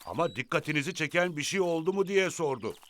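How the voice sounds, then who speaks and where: A man voicing a naive, slow-witted cartoon henchman with a meek, sheepish manner. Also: a little high, lively intonation, fairly bright tone, a little hiss or hum. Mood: clueless. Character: dim, naive henchman, meek under scolding. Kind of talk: cartoon voice acting.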